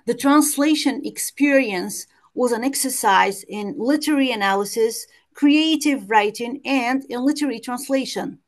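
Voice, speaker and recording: A woman presents an academic paper over a video call. Her voice sits a little high, and she reads in a careful, measured way, sometimes stopping to restart a word. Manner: careful, measured reading with occasional hesitant restarts. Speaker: conference presenter. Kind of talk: reading a prepared academic paper. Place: home or office on a video call.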